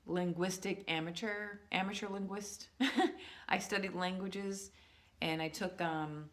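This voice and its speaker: A woman with a low, deep-set voice muses aloud to her viewers in an easygoing vlog. She rambles, restarts her sentences and draws certain words out slowly for weight. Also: fairly even intonation, a bit bright. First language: English. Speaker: vlogger. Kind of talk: casual musing monologue to viewers.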